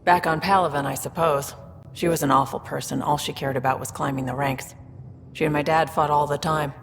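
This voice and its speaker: An actress playing a tough, guarded alien smuggler in a video game, speaking in a dry, matter-of-fact way. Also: female voice, deep voice, steady tone, brisk, gravelly, in a large hall, noisy background. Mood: dry, candid. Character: tough, guarded female alien smuggler, pragmatic older sister. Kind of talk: video game character acting.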